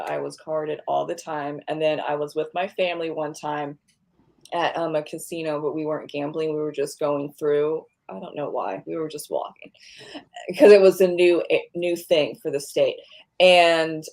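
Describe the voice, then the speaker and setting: A woman's voice: sits low, darkish tone. Chatty woman in her thirties. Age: 30s. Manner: chatty, rambling, laughs often. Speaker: podcast guest. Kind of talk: casual group chat.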